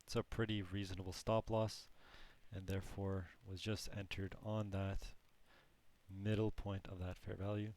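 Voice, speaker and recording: A man who is losing his voice, teaching a trading lesson in a slow, halting way with frequent restarts. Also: low voice, level tone, darkish tone. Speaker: trading course instructor. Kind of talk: screen-recorded trading lesson.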